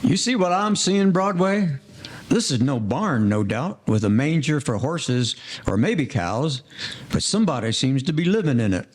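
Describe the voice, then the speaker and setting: A man playing a blunt but soft-hearted gangster sidekick in a 1940s audio play, grumbling in slang. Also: a little high, very animated, normal speed, slight background noise. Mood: earnest. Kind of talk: audio play character acting.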